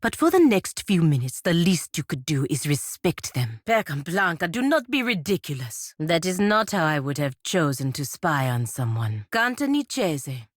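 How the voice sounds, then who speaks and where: An actress voicing a proud, stern, dutiful woman knight and envoy in a video game, speaking in a formal, measured, dry manner. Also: sits low, big pitch swings, dry recording. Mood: indignant. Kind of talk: video game character dialogue.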